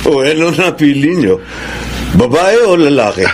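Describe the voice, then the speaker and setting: A man's voice: fairly high pitch, big pitch swings, moderate pace, a little hiss or hum. A man acting a supportive, frank older brother in a Filipino radio drama. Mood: supportive, teasing.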